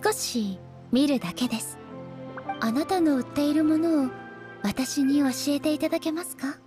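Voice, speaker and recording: A woman's voice: high voice, moderate pace, over music, close to an everyday voice. A woman playing a dignified, composed female shogun in a video game, speaking formally and politely. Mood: calm, curious. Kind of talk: anime-style video game dialogue acting.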